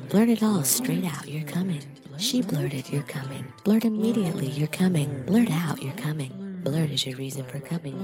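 A woman with a breathy voice that often slips into whispering, delivering scripted adult hypnosis audio in a dominant, deliberate, commanding manner. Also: on the low side. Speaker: erotic hypnosis performer.

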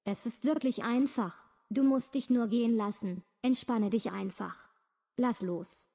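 A woman reading a slow, soothing, repetitive guided hypnosis script. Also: mid-range voice, dark tone. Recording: long reverb.

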